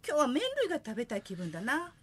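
Young singer daughter, female actor: eager and cheeky, bright high voice, comic radio timing. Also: very animated, fast, husky, full character voice.

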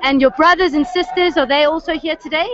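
A woman's voice: sits high, fairly quick. A woman with a South African accent, a friendly, encouraging reporter doing live street interviews outdoors. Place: outdoors at a crowded street gathering. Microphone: handheld microphone. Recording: muffled, band-limited outdoor field audio.

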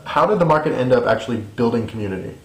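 A man's voice: medium pitch, animated, fast talker, echoey room, a little hiss or hum. A man politely reading interview questions.

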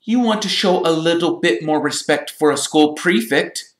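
A pompous teenage prefect boy as a man acts him out, raised in pitch and stiffly stern. He speaks as an affronted storybook authority figure, with room echo around him. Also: normal speed, lightly acted.

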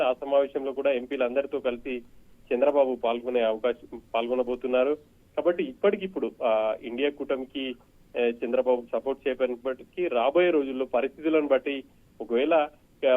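A man reporting as a news correspondent over the telephone, halting and hesitant in broken phrases. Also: medium pitch. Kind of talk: live unscripted phone report. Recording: muffled narrow-band phone line.

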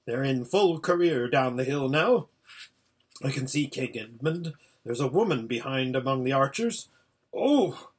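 A man's voice: medium pitch, moderate pace, slightly rough, lightly acted. A man voicing an impatient old hermit seer in a storybook, giving an anxious, exclamatory running report. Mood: excited. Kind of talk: storybook character voice.

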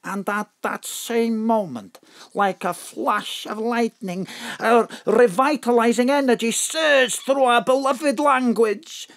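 An actor playing a grandiose, excitable in an audio drama, declamatory and effusive. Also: male voice, extremely high pitch, slow, vocal fry, heavily acted. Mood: rapturous. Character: excitable, grandiose Welsh male Archdruid.

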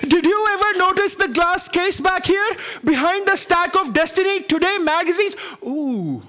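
A middle-aged man playing a bossy, melodramatic colleague on a frantic quest, theatrical and halting, in a staged comic audio drama. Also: very high-pitched, expressive, sharp tone, exaggerated voice. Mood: puzzled, deliberate. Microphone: microphone on a stand. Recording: noisy live stage recording.

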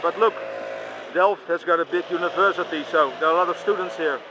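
A man's voice: fairly high pitch, steady tone, a little fast. A man with a Dutch accent chatting casually while filming a walk, exclaiming often. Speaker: vlogger filming with handheld camera. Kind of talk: casual walking commentary. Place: outdoors at a busy bike parking by a street. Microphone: handheld camera mic. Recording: noisy outdoor street recording.

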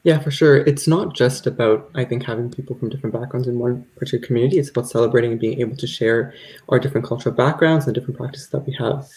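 Fast-talking young man on a video call. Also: mid-range voice, a little mellow, poor audio. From Toronto, Canada. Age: young adult. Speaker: university student guest. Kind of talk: casual video call discussion. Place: bright room indoors.

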